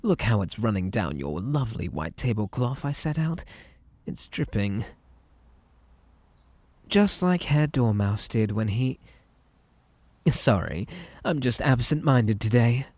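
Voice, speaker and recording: Guy doing a creepy possessive british bunny boy for asmr roleplay, slow breathy delivery, super polite but menacing, really close dry sound. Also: medium pitch, animated, a little mellow, no echo. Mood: sweetly menacing. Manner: theatrically polite, coaxing, drawn-out delivery with menacing undertone. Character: possessive, unhinged magical bunny boy tea-party host.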